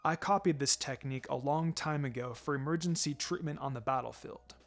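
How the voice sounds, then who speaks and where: A man voicing a calm, firm ninja mentor in a fan fiction reading, encouraging and dryly teasing in a measured, instructive way. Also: mid-range voice, soft background music, natural voice. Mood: calm instruction. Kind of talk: fan fiction character dialogue.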